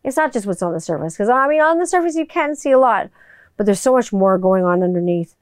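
Woman, chatty and rambling, casual swearing, smooth resonant tone, dry close recording. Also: medium pitch, animated, fast, dry recording. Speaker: voiceover artist and musician. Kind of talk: casual monologue to viewers. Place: home room with people upstairs.

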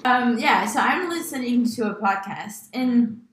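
A woman's voice: fairly high pitch, animated, a little slow, reverberant. A woman co-hosting a casual podcast, rambling and filling her speech with "like", laughing often. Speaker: podcast co-host. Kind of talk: casual chat.

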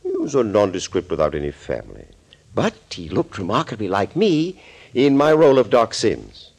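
Male mad doctor mastermind for 1940s radio thriller: low voice, sweeping intonation, urbane and amused. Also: wildly swooping pitch, normal speed, darkish tone, husky, heavily acted. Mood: smug, explaining. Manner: urbane, amused, theatrical, mockingly courteous. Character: suave, gloating mad doctor, a master villain and impersonator. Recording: old scratchy 1940s radio recording.

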